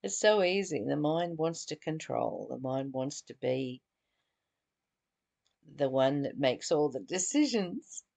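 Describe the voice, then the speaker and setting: Slow-paced woman reading and reflecting, slightly creaky. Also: low-pitched, expressive, darkish tone. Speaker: spiritual teacher leading a book study. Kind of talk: reading aloud with spoken commentary.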